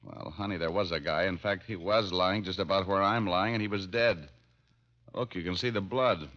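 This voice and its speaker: A man's voice: sits low, moderate pace, natural voice. An American man playing a hard-boiled, wisecracking private detective in a vintage radio drama, breezy and sardonic. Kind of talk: 1940s-50s radio detective drama, wry narration. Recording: muffled old radio recording with music.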